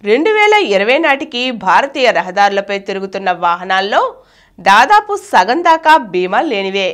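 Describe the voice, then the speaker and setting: A woman reading a scripted news article aloud as a narrator, in a formal, measured manner. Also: mid-range voice, big pitch swings, a bit bright, clear, resonant tone.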